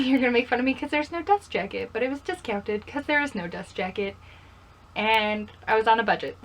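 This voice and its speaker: A woman's voice: fairly high pitch, fairly bright tone, rough recording. A young woman chatting casually in a vlog style, playfully self-deprecating and often putting questions to herself. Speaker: book vlogger. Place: bedroom.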